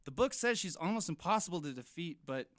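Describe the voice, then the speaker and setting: A woman's voice: fairly low pitch, lively intonation, fast talker, dry recording, natural voice. A young woman playing an eager, dramatic teenage friend in an audio drama, earnest and insistent.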